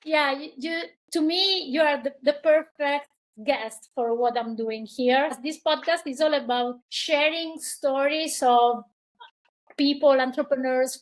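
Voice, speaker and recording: High-voiced woman, effusive podcast host. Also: rough recording. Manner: effusive, enthusiastic, restarts phrases. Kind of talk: warm conversational interview. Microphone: video call.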